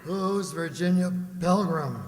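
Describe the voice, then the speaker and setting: An actor playing an exasperated, bossy police lieutenant in a radio play, impatient and scolding. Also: male voice, high-pitched, slow, faint music behind. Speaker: amateur radio drama actor. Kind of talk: old-time radio play dialogue. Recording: very echoey hall with faint noise.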